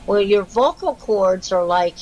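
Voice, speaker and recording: A woman's voice: mid-range voice, lively intonation, unhurried, a little mellow, dull audio, a little hiss or hum. A woman in casual conversation, explaining at length with many restarts while recovering from losing her voice. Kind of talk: casual chat.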